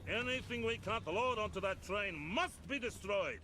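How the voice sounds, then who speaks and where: Commanding wartime colonel, contemptuous, high-pitched for a male, game cutscene, clear outdoor noise. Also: animated, normal speed. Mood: stern, commanding. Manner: commanding, authoritative. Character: stern, contemptuous Soviet NKVD colonel in wartime. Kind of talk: dramatic video game cutscene acting.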